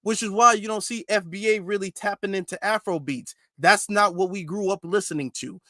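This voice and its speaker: Male livestream host, high and bright, insistent lecturing delivery. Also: dry recording, clean studio sound. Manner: emphatic, repetitive, lecturing. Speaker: commentary channel host. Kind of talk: livestream commentary monologue.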